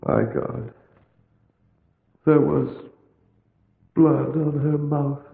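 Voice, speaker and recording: Gravelly, anguished bereaved lover. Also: male voice, a little high, little pitch movement, heavily acted. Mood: horrified. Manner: halting, heavy, theatrical delivery. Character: anguished bereaved lover, haunted, reluctant and intense. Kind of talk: old-time radio drama acting. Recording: vintage radio, tinny narrow band, echoey hall reverb.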